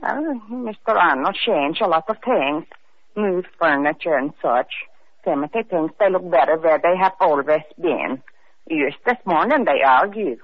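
Timid foreign maid. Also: female voice, low voice, expressive, no room sound. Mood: nervous. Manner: timid, halting broken English. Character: nervous foreign-born housemaid, young woman. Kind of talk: 1940s radio acting. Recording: dull old radio recording.

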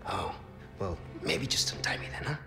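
A man's voice: normal speed, music in the background, natural voice. An actor playing a talkative, roguish Spanish man in a video game, with a Spanish accent and a wry, chatty manner. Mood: wry, teasing. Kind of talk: video game cutscene acting.

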